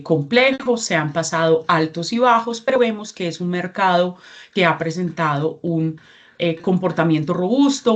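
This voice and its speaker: A woman in her forties with a Colombian accent, giving a measured presentation at a training workshop, with frequent filler sounds and restarts. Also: fairly low pitch. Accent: Colombian Spanish. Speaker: regional representative giving a training talk.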